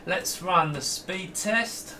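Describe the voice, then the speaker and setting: A man's voice: high-pitched, slow pace, pushed and bright, a little hiss or hum. A man, giving an enthusiastic, rambling, casual hands-on computer demonstration. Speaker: retro computer vlogger. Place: small indoor home computer room.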